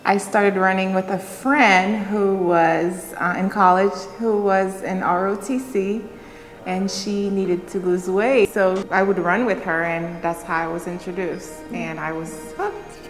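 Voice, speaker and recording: A woman from Haiti, speaking slowly and hesitantly in an interview about running. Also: medium pitch, fairly even intonation, roomy sound, long reverb tail, faint music behind. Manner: slow, hesitant, many pauses and fillers. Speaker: interviewee, runner. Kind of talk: reflective interview talk.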